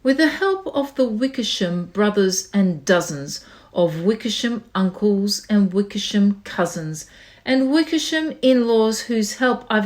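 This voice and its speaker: A woman's voice: medium pitch, darkish tone. A woman voicing a scornful, bossy big kangaroo in a storybook, scolding in a haughty, snapping way.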